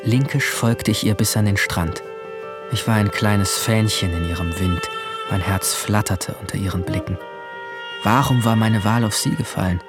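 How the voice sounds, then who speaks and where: A low-pitched teenage boy , acted by a man, tells his own story in an audio drama. He is guilt-ridden and introspective, halting when he speaks to others. Music plays clearly underneath. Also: lightly acted. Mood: wistful. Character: guilt-ridden, anxious seventeen-year-old boy, lovestruck and withdrawn. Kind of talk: first-person narration in a German audio drama.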